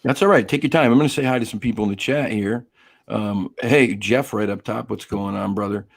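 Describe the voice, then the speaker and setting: Gravelly, hoarse man hosting a livestream. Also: lowish voice, fairly quick. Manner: chatty, greets viewers by name. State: hoarse, losing his voice. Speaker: live stream host. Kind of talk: casual live-stream chat.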